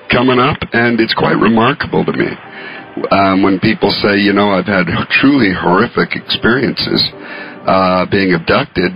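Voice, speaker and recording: A man, a radio station producer, calling in and talking thoughtfully with hesitant restarts. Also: fairly low pitch. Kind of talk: casual call-in chat. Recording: dull lo-fi radio audio.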